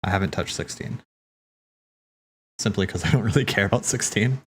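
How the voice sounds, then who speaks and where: Low-voiced man casually reacting on stream. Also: moderate pace, slight background noise. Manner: animated, slangy teasing. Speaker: streamer hosting a watch party. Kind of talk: casual live reaction chat. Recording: game music playing behind.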